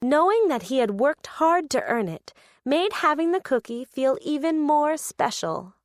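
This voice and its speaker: Woman, children's narrator, high voice, unhurried, expressive read-aloud. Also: dry recording, clean studio sound.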